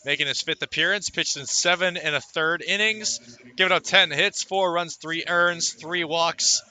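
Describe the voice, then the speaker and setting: A man's voice: a little high, steady tone, normal speed, bright, forceful tone. A man calling live baseball play-by-play for radio. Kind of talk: live radio sports commentary.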